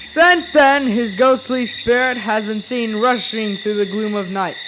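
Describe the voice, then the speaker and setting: A man's voice: high-pitched, measured pace, heavy background noise. A young male student playing an old-time chronicler, narrating a ghostly radio play in formal, ornate language. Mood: eerie storytelling. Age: young adolescent performer in a middle school production. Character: Dietrich Knickerbocker, old-time storyteller and chronicler of ghostly tales. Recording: music behind the voice.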